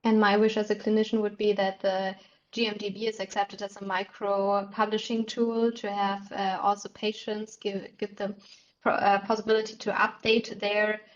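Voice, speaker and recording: A woman's voice: medium pitch, flat delivery, normal speed. A woman answering as a clinician at a conference, hesitant and searching for her words, on slightly muffled audio. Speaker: clinician presenting.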